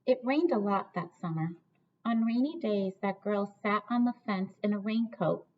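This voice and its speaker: A woman reading a storybook aloud to children like a teacher, pausing to ask listeners questions. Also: medium pitch. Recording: dull, lo-fi sound.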